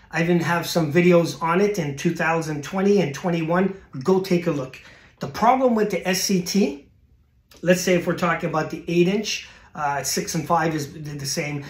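Chatty middle-aged man giving telescope advice. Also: fairly high pitch. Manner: chatty, informal, many restarts and fillers. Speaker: astronomy channel host. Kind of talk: casual gear advice to camera.

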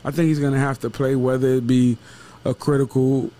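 A man's voice: medium pitch, flat delivery, brisk, warm tone. A man chatting casually about football as a show analyst, emphatic and repeating himself, with some swearing. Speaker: sports show co-host and analyst. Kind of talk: casual football postgame chat. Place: small wood-paneled studio room. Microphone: podcast microphone with headset.

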